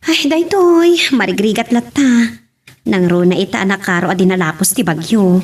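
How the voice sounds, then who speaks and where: A woman's voice: medium pitch, moderate pace, a little mellow, lightly acted. A woman playing an earnest married mother set on working abroad, in an audio drama. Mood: worried. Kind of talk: amateur radio-style drama acting.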